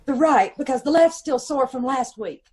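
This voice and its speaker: A woman's voice: high-pitched, lively intonation, normal speed, a little mellow, breathy edge. A woman playing a witty, affectionate, teasing detective's wife in an old-time radio comedy mystery. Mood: curious, wry.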